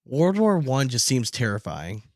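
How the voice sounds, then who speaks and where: A man's voice: medium pitch, sing-song, unhurried, darkish tone, no echo, clean studio sound. A man co-hosting a podcast, telling loose, profane stories in casual banter. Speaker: podcast co-host.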